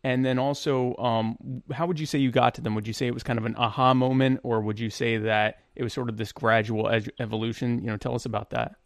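A man's voice: medium pitch, fairly even intonation, fairly quick, few pauses, dry recording, clean studio sound. A man hosting an online talk show, chatting casually in an easygoing, self-deprecating way with fillers. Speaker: show host. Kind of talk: casual conversation.

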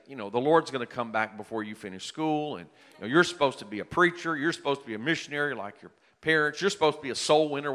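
Man in his late fifties, preacher, bright forceful tone, somewhat high voice, long hall reverb. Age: 50s. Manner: earnest, rising to emphatic peaks. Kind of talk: sermon with personal testimony.

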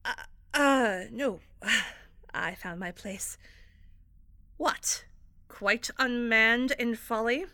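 A woman plays a stern, somewhat strained acting teacher in an audio drama. She has a bright, forceful, fairly high voice and gives clipped, dry instructions at a slow, measured pace. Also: no room sound, exaggerated voice. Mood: uneasy. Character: strained, stern actress teaching stage combat.

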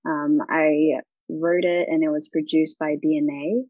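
A woman's voice: low voice, level tone, measured pace, no room sound, dull audio. A young woman with an Australian accent, a singer chatting in an interview, bubbly and rambling with lots of giggles.

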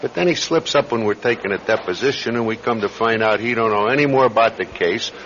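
A weary New cop with a lowish voice. He is gruff, dismissive and sardonic, speaking in street-wise radio drama style on a slightly muffled recording. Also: quick pace, a bit bright, slight background noise. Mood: matter-of-fact. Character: weary, dismissive New York police sergeant.

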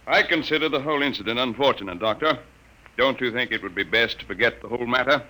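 An actor playing a hesitant, ingratiating army colonel, in a 1950s radio drama. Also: male voice, medium pitch, expressive, brisk, sharp tone, low-quality recording. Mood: placating. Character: nervous, placating army colonel.

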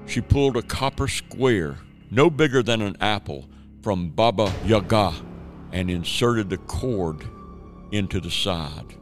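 Measured male audio-drama narrator. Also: on the low side, lively intonation, soft background music. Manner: measured, storytelling. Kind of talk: scripted narration.

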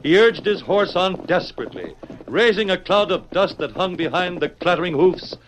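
A man's voice: sits high, lively intonation, normal speed, slight background noise, rough recording. A man with an American accent narrating a western in grand, declamatory 1940s radio style. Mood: urgent storytelling. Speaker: announcer and narrator.

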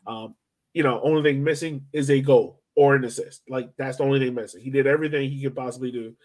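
An American man with a middle-of-the-road pitch chats as a podcast co-host. He analyses sport informally, using slang and an easy conversational delivery. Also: steady tone, fast, a little mellow. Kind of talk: casual sports analysis chat.

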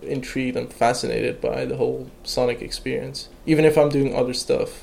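A young man who grew up in Iran talks in a halting, unhurried way, with plenty of fillers and restarts. His voice has a gravelly, fry-laden edge at mid pitch. A faint hiss sits under the recording. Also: flat delivery, darkish tone. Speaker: guest, electronic music producer. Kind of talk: casual interview conversation.

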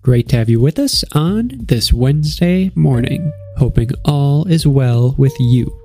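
A young man with a head cold talks in a nasal, stuffy voice at a mid pitch. He chats casually on his podcast, upbeat and rambling. Faint music sits behind him. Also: expressive, measured pace, warm tone. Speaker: podcast host. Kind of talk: casual solo podcast talk.

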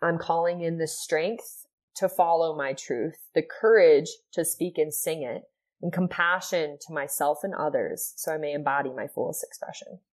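A woman from Ohio, a yoga and sound healing teacher, telling her personal story as a podcast guest in an earnest, soft-spoken way, with many fillers. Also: deep voice, moderate pace.

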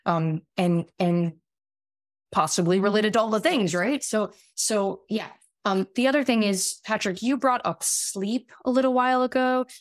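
A woman in her late thirties with a raspy voice, explaining things conversationally as an expert guest, often halting and restarting her phrases. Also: mid-range voice, dry recording, studio quality. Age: late 30s. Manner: halting, self-interrupting, many repeated words. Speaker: podcast guest, medical doctor.

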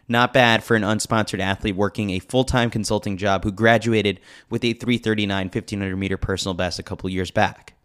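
A man's voice: on the low side, steady tone, a little fast, few pauses, a bit bright, smooth. A man reading a scripted sports newsletter with a lightly wry, joking manner. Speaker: newsletter reader, podcast host.

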